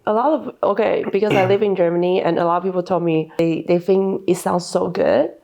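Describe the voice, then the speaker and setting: A woman's voice: on the low side, steady tone, brisk, gravelly, mellow. A woman hosting a casual interview, teasing her guest and laughing often. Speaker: host.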